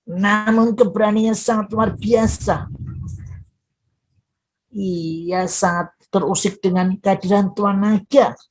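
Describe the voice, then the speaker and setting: A woman voicing a sly, flattering little fish in a children's folktale, calling out in a dramatic, deferential way. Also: medium pitch, slow pace, long pauses, pitched above the performer's own voice, rough recording. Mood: flattering, provoking. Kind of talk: children's storytelling character voice.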